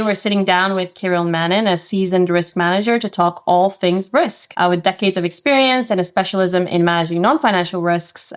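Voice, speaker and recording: A woman hosting an interview podcast, presenting prepared introductions and questions to a guest. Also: fairly low pitch. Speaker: podcast host.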